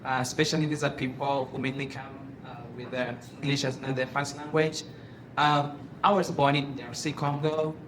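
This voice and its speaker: Flat-toned man. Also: mid-range voice, a little fast, crowd noise. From East Africa. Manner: friendly, hesitant, filler-heavy. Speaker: company ambassador on a panel. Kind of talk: introducing himself to students. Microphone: handheld microphone. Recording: echoey room with clear noise.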